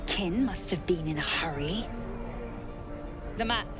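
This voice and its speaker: A harsh warrior woman from an alien race, giving clipped, cold orders. Her voice sits low for a woman. Game music runs underneath. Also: lively intonation, measured pace. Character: stern githyanki warrior woman, blunt and cold. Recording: game audio with music behind.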